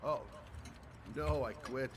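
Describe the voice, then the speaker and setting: A man playing an easygoing middle-aged private investigator in a video game, polite, casual and low-key. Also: slow, a crowd in the background, lightly acted. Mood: relaxed, friendly. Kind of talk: video game dramatic acting.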